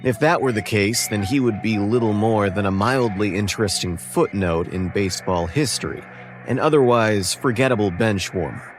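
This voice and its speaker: A man plays an earnest young male ballplayer narrating a horror audio drama. His voice sits fairly low, and he tells his story deliberately and conversationally, with determination. Music runs clearly underneath. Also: natural voice. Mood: reflective, admiring. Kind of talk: scripted first-person audio drama narration.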